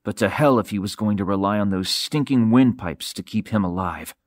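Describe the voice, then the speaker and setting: A man reading an audiobook as a narrator, with dramatic, expressive and suspenseful delivery, close to a studio microphone. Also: on the low side, brisk, no echo. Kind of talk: dramatic scripted fiction narration.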